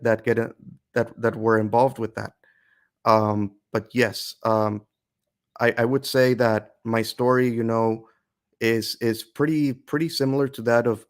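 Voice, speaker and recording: A man of middle pitch shares his story as an interview guest. He talks thoughtfully, pausing and restarting as he works through his ideas in a steady conversational tone. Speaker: interview guest, English teacher.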